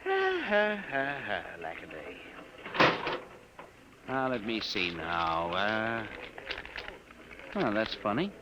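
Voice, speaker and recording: An actor playing an earnest, timid records clerk, flustered and deferential, in 1950s radio drama style. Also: male voice, fairly low pitch, huge pitch swings, takes it slowly, pauses often, heavily acted. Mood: puzzled, musing. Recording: dull, very noisy old radio recording.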